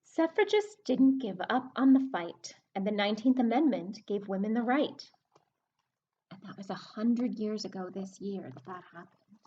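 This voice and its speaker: A woman librarian reading a picture book aloud to children, expressive and explanatory, pausing to speak to her young listeners. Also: medium pitch, husky, dull audio. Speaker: children's librarian hosting story time.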